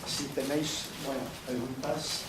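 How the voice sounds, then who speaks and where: A middle-aged man with a French accent, a film director speaking haltingly after a screening, often restarting his phrases. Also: mid-range voice, level tone. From France. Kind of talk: post-screening Q&A. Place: cinema hall. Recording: echoey hall from far off with noise.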